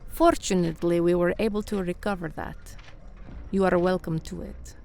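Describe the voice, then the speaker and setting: A woman's voice: fairly low pitch, steady tone, normal speed, darkish tone, a little hiss or hum, lightly acted. An actress playing a menacing, imperious woman crime boss in a radio drama, speaking in formal, haughty, clipped phrases. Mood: coolly commanding.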